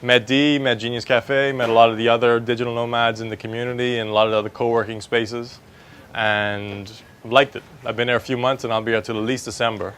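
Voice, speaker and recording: A man in his thirties from the Bahamas, presenting a talk in a relaxed, conversational way into a handheld mic in an open-air pavilion. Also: mid-range voice, fairly quick, smooth. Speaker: speaker, digital marketing consultant. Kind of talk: conference talk.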